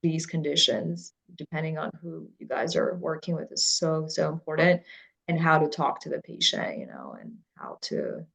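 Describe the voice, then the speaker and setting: A psychiatrist from Ukraine talking through ideas in a relaxed professional discussion, thoughtful and halting, with many fillers. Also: female voice, deep voice, gravelly, rough recording.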